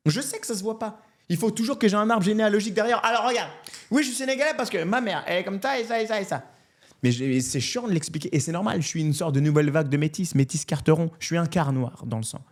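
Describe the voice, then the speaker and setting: A French man in his thirties, a comedian, telling his life story in a casual interview in an animated, candid way. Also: high-pitched, fast. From France. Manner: animated and candid, often adds "tu vois". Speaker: comedian guest.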